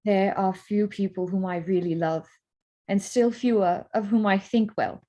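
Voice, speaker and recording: A woman playing a wry, frank young gentlewoman in a staged reading of a period drama, speaking articulately at a medium pitch. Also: steady tone, normal speed, lightly acted. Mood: disillusioned.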